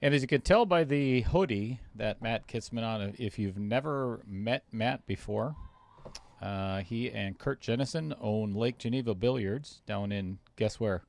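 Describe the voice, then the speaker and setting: Gravelly man commentating a pool match. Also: fairly low pitch, unhurried, a little mellow. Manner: casual, chatty, reads viewer comments aloud. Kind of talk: live stream commentary.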